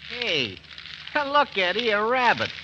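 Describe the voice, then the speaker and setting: An actor playing an eager, curious young American soldier, boyish and excitable, in 1940s radio drama style. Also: male voice, sits high, slow pace, heavily acted. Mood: excited. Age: young adult. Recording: muffled, noisy 1940s radio recording.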